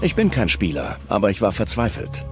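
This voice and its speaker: A man's voice: on the low side, wildly swooping pitch, brisk. A man playing a reckless, frustrated young man in debt, telling his side defensively in a scripted true-crime dramatization. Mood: desperate. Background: music.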